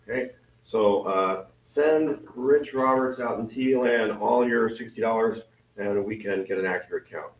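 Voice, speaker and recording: A deep-voiced man gives club meeting announcements in a rambling, joking, self-deprecating way. He is picked up from across an echoey room. The sound is dull and muffled. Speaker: event committee member. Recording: roomy, distant, dull meeting recording.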